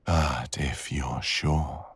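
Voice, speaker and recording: Casting: male actor for menacing dragon villain in intimate ASMR roleplay, extremely low husky voice, very slow seductive delivery. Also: steady tone, no echo, exaggerated voice. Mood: amused, seductive. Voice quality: gravelly, husky, breathy growl. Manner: slow, deliberate, teasing drawl with murmurs.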